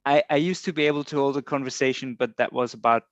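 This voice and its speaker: A man from Austria chatting casually as a guest in a relaxed way. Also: mid-range voice, fast, no echo, dull audio. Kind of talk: casual video call chat.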